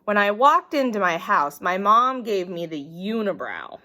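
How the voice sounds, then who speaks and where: Expressive woman reading a children's book. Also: medium pitch, a little slow. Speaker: reader. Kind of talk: children's book read aloud.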